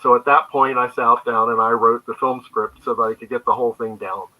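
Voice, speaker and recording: A man speaking as a creator guest in an interview on an online call, telling stories conversationally in a halting way. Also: mid-range voice, flat delivery, phone-quality sound. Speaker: guest, comic book creator. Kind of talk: conversational interview answers, storytelling. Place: remote online video call.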